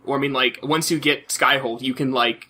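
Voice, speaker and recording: A man with a bright, forward voice talks in a loose, casual podcast style. He stumbles through his thoughts, restarting phrases and circling back on his words before he lands the point. His pitch sits in an ordinary male middle range. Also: fairly even intonation, breathy edge. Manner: halting, filler-heavy, repeats and restarts phrases. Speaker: podcast co-host. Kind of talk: casual chat about video games.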